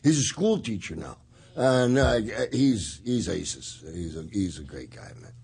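A man's voice: fairly low pitch, sing-song, a little slow, creaky, darkish tone, husky. An older man hosting a podcast, telling swaggering anecdotes in a casual chat with frequent laughter. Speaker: podcast host.

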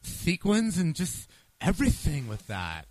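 A man's voice: a little high, huge pitch swings, unhurried, dry recording, poor audio. A man hosting a casual sports picks show, talking in a playful, slangy and sometimes profane way. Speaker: sports podcast host. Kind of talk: casual sports pick banter.

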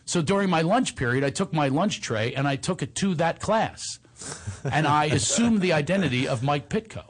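A deep-voiced man spinning comic tales in a relaxed chat, his pitch leaping and swooping as he acts out every character. His tone has a slight husk to it, and laughter keeps breaking into his stories. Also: moderate pace. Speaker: guest telling stories.

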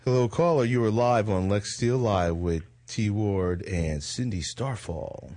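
A man's voice: lowish voice, measured pace, warm tone. A man hosting a talk show in a casual, conversational style.

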